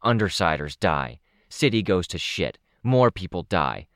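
A man's voice: sits low, sing-song, measured pace, a bit bright, no room sound, studio quality, exaggerated voice. A man voicing a blunt, tough woman who talks in short, clipped sentences. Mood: grim, blunt. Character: blunt tough woman, terse, plain-spoken.

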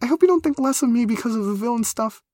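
Man voicing an excitable, high-pitched nervous creature girl. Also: quick pace, no echo, clean studio sound, lightly acted. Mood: horrified, flustered. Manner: gushing, flustered, stammering, emphatic. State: breathless with excitement. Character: excitable, nervous teenage girl creature with barbed-wire hair.

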